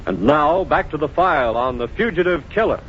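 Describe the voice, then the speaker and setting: A man's voice: on the high side, expressive, fairly quick. A man announcing a 1940s radio programme in a grand, declamatory, scripted style. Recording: dull, noisy 1940s radio recording.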